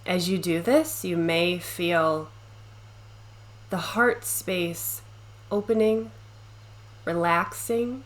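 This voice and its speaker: A woman leading a guided meditation, speaking gently and soothingly with long pauses between short phrases. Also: fairly low pitch, poor audio.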